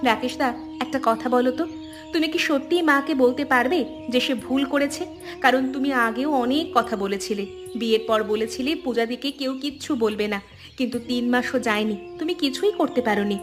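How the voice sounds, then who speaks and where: A woman's voice: a little high, moderate pace, lightly acted. A woman playing wronged young village sisters-in-law in a cartoon story, speaking in an earnest, plaintive way. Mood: reproachful, firm. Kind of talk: Bengali cartoon story dialogue. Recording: music behind the voice.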